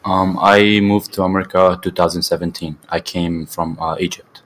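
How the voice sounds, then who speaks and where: A teenage boy from Syria whose first language is Arabic, answering interview questions in halting English with many fillers and restarts. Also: low voice, steady tone, a bit bright, a little hiss or hum. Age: teens. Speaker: student guest.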